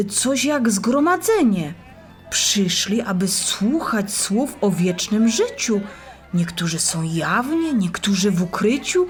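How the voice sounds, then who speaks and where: A woman playing an eager, caring friend in a rhymed Bible audio drama for children, encouraging and expressive. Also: on the high side.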